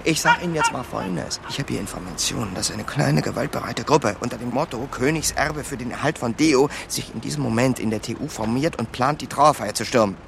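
A man's voice: medium pitch, brisk, gravelly, hardly any pauses, background noise, lightly acted. An actor playing a brash con man who passes himself off as a bossy, scolding official in a radio crime drama. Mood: indignant, officious.

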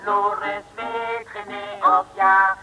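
A woman's voice: mid-range voice, level tone, takes it slowly, lightly acted. A woman playing a dreamy singer in a musical interlude of an old radio play. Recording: echoey old recording under loud music.

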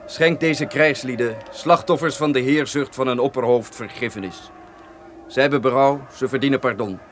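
Actor as a righteous, declaiming hero. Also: male voice, mid-range voice, normal speed, slight background noise, close to an everyday voice. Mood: solemn. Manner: commanding, declamatory. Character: noble, righteous young hero demanding justice. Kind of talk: old-fashioned Dutch radio drama acting.

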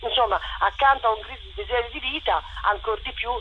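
A woman directing a play, talking in a radio interview in an animated, rambling way full of restarts. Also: on the high side, fast talker, lo-fi sound, slight background noise. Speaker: theatre director.

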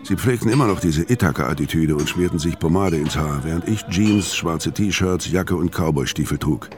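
A man's voice: low voice, moderate pace, lightly acted. An actor playing a bitter, world-weary hitman who narrates and speaks tersely in a noir radio play. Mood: bitter, laconic. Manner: terse, deadpan. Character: hard-boiled middle-aged hitman, bitter, violent, world-weary loner.

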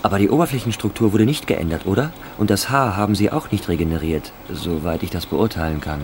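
A wry, sardonic friend. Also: male voice, deep voice, fast talker, background noise.

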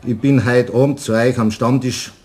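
A man's voice: medium pitch, fairly even intonation, moderate pace, darkish tone, natural voice. An actor playing a hearty, gullible tradesman with a Bavarian accent, folksy and jovial, in a radio play. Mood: bewildered. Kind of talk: German radio play acting.

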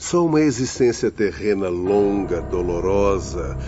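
A man's voice: lowish voice, lively intonation, unhurried. A Brazilian man playing a solemn, knowing spirit mentor in a radio drama. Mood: grave. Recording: dull lo-fi sound under prominent music.

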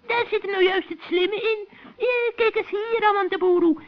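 A man playing a cranky, scheming old forest witch with a shrill, cackling voice in a children's radio play. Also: moderate pace, highs cut off. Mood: sly. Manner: scolding, exclaiming, wheedling.

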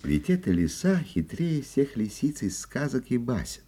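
A man playing a genial, wary forester in a children's audio play, given to drawn-out exclamations of wonder. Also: medium pitch, big pitch swings, warm tone, breathy edge, full character voice. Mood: wary, confident. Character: wary old-fashioned forester, genial and easily amazed.

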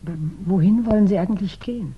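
A woman's voice: medium pitch, quick pace, husky, highs cut off. An actress playing a worried, solicitous woman sheltering a friend in a 1960s German radio play. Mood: caring, insistent. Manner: solicitous, concerned, polite. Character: anxious, caring woman sheltering a fugitive friend. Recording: slightly noisy 1960s radio recording.

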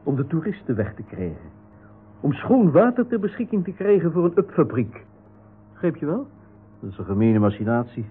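Earnest old professor, lively pitch. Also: male voice, normal speed, breathy edge. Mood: earnest, conspiratorial. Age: older. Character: earnest old professor plotting detective work. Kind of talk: radio play acting. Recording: narrow phone-like radio sound, faint noise.

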